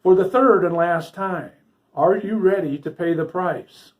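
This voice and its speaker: Guy doing a little fairy harper from a storybook, higher voice, cheeky and bossy. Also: unhurried, phone-quality sound.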